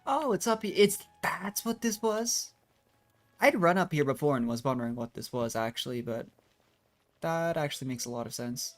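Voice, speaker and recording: Streamer: mid-pitched, casual, chatty, thinking aloud while playing. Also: lively intonation, moderate pace, soft background music. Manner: chatty, musing, trailing asides. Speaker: game streamer. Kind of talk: casual live game commentary.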